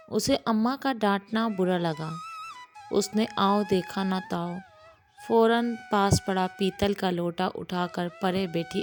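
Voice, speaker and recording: A woman narrates a scripted audio story. Her pitch sits in the middle for a woman and her delivery is slow and measured. Light music plays behind her. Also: darkish tone. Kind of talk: scripted audio storytelling in Urdu with acted dialogue.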